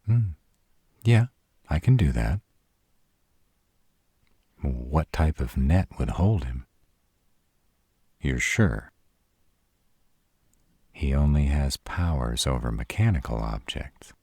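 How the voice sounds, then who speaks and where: Man voicing a slow, very deep protective were-dragon lover. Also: mellow, slightly rough, no room sound, clean studio sound, heavily acted. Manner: slow, crude-tongued, tender with partner. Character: protective, devoted male were-dragon lover, brash and fierce. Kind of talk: roleplay audio drama acting.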